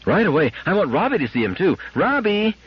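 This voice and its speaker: Eager, pleading little girl for an audiobook, acted by a man; lifted pitch, dull lo-fi recording. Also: big pitch swings, brisk, breathy edge, heavily acted. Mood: excited, eager. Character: excited, trusting little girl, bubbly then worried. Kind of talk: audiobook character acting.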